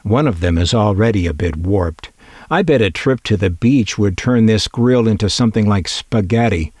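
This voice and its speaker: A man's voice: on the low side, a little mellow, dry recording. A man narrating a scripted collector's video in a wry, opinionated way with dry humor.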